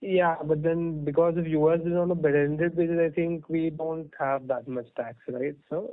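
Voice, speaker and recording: Male investor caller, fairly high pitch, deferential questioning, thin phone audio. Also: steady tone, normal speed. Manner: deferential, often saying sir. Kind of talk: earnings call questioning. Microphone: telephone conference line. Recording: narrow tinny phone line.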